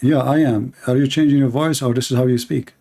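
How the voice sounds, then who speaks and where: A man's voice: mid-range voice, steady tone, fairly quick, mellow. A man with an Arabic accent hosting a call-in show, questioning callers insistently and telling stories with animation. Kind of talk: call-in debate.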